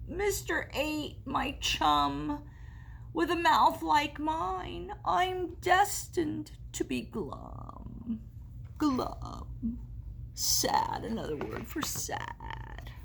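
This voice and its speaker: A woman's voice: high voice, wildly swooping pitch, very slow, creaky, a bit bright, a little hiss or hum, heavily acted. A woman voicing a sulky, gloomy male fish in a storybook, with a mopey, whiny delivery.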